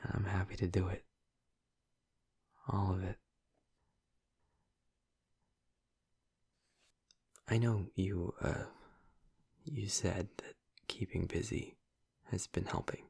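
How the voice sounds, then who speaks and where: A man playing a gentle, flirty, earnest vampire in an intimate audio roleplay, murmuring softly and hesitantly in a breathy, gravelly voice. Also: sits low, fairly even intonation, no room sound, studio quality, exaggerated voice. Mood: supportive, gentle. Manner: soft, hesitant, halting, reassuring.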